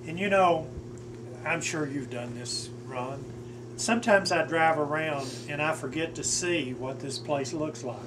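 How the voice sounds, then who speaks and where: Seventy-ish guy agreeing along, a bit high, far from the mic with noise behind. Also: measured pace. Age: 70s. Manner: agreeable, brief affirmations and questions. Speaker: porch conversation partner. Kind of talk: casual chat. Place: outdoors on a front porch. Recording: distant mic with background noise.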